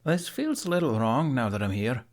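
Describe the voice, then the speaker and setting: A man's voice: medium pitch, big pitch swings, moderate pace, voice pushed up, close to an everyday voice. Man voicing a nonchalant, wry Viking blacksmith. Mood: nonchalant musing.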